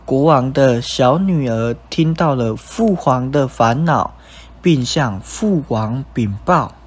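A man's voice: medium pitch, expressive, slow, breathy edge. A young man with a Taiwanese Mandarin accent reading scripted narration for a radio drama. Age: young adult. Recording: music bed behind narration.

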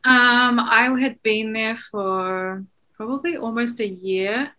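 A young woman from New Zealand, a guest chatting casually on a podcast, relaxed and full of ums. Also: a little high, slow.

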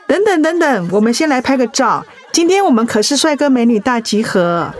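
An actress playing a warm, reassuring close friend in a radio drama, expressive and encouraging. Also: female voice, high-pitched, fairly quick. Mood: cheerful.

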